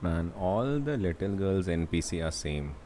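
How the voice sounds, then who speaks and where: Very deep-voiced young Indian streamer man. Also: big pitch swings, measured pace, dark tone, slight background noise. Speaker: game streamer. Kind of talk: casual livestream chat. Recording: game music behind the voice. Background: video game music.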